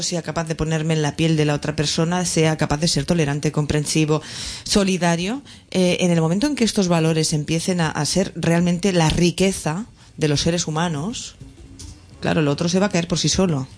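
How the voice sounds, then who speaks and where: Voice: mid-range voice, fairly even intonation, normal speed. A speaker in a casual discussion, earnestly reflecting and listing values one after another.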